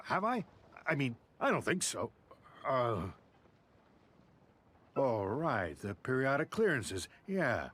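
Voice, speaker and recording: An actor playing a nervous, eager-to-please warehouse clerk in a video game, with a flustered, stammering manner. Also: male voice, mid-range voice, a bit bright, slightly rough, exaggerated voice. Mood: anxious. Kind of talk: video game character acting.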